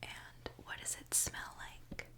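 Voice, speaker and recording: A woman whispering a gentle ASMR roleplay as a reassuring repair mechanic, talking softly and directly to the listener. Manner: soft, gentle, reassuring, unhurried. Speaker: ASMR performer playing a robot repair mechanic.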